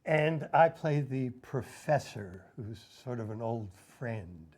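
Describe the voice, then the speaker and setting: A man's voice: mid-range voice, lively intonation, takes it slowly, a little mellow. A man talking casually as an actor being interviewed.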